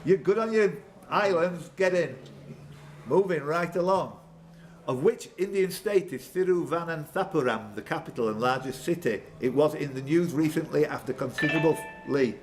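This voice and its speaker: A man hosting a live quiz, reading questions, calling on players by name and bantering excitedly with them. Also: on the high side, moderate pace. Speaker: quizmaster. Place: pub room with players around. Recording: noisy live pub room recording.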